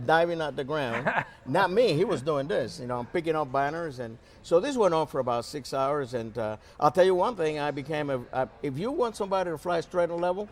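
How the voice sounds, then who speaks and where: A man's voice: a little high, expressive, a little fast. An older man with a mixed Cuban and New Jersey accent, chatting casually as an interview guest, hesitant but good-humored. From Cuba, later New Jersey, USA. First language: Spanish. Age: 60s or older. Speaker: interview guest, flight instructor. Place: aviation museum at an air show.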